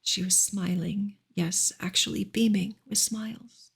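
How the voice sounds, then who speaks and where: A woman reads a novel aloud in a soft ASMR style. Her voice is breathy and husky with a creaky fry at the edges. The delivery is gentle and measured, staying on an even, narrow line of pitch. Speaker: ASMR reader and livestream host. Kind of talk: soft ASMR audiobook reading of a classic novel.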